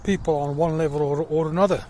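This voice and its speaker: A middle-aged man with a South African accent, talking earnestly about himself in a personal vlog while out walking in a field, with many pauses and fillers. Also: fairly high pitch, steady tone, dark tone, a little hiss or hum. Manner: earnest and reflective, pausing with fillers. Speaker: crowdfunding campaigner. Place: outdoors in a field.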